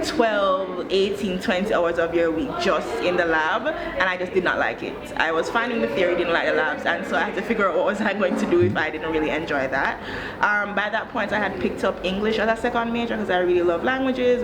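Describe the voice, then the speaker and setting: A woman from Jamaica in her thirties, an education director answering interview questions in a thoughtful, articulate, measured way. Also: on the low side, crowd noise. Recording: background noise.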